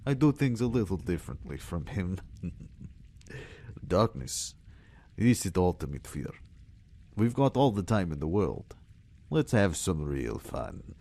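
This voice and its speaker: Man playing cruel Russian interrogator, low voice, gloating, menacing, theatrical radio-drama villain, faint noise behind. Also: sing-song, mellow, exaggerated voice. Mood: sadistic, gloating. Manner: taunting, menacing, drawn-out theatrical delivery. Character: sadistic Russian ex-intelligence captain, gloating interrogator. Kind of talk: audio drama villain acting.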